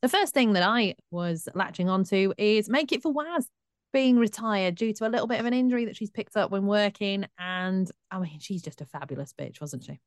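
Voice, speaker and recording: A woman, co-hosting a podcast in a brisk, upbeat, chatty way. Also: mid-range voice, no room sound, studio quality. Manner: brisk and upbeat, presenting the show. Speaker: co-host. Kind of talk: casual podcast chat.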